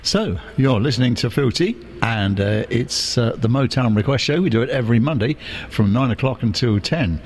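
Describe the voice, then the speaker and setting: A man's voice: medium pitch, expressive, moderate pace, slight background noise. A man presenting a request radio show, chatty and full of fillers, with a cough and a slight wheeze.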